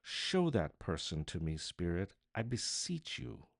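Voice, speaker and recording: A man's voice: low voice, big pitch swings, measured pace, creaky, no room sound, studio quality, exaggerated voice. A man playing an anguished, fearful old man in a storybook reading, solemnly beseeching. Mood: agonized pleading.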